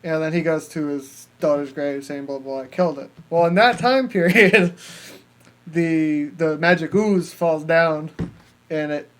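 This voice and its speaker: Higher-voiced man rambling through movie talk. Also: a little slow. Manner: rambling, profane, filler-heavy storytelling. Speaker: podcast host. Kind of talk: casual movie review chat.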